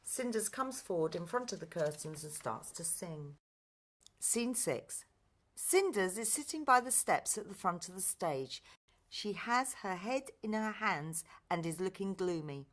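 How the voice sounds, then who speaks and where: A woman's voice: mid-range voice, animated, measured pace. A woman reading scripted narration and stage directions for a play.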